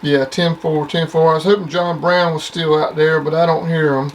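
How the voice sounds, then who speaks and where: A man's voice: on the high side, flat delivery, moderate pace. Man on CB, faint background noise. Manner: plainspoken, uses repeated CB jargon. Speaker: CB radio operator. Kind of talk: casual CB radio chat. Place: at a home CB base station.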